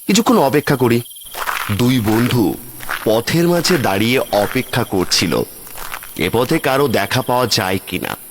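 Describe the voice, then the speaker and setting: A man's voice: mid-range voice, lively intonation, unhurried, long reverb tail, slight background noise. A man narrating a cartoon ghost story in a dramatic, scripted storytelling style.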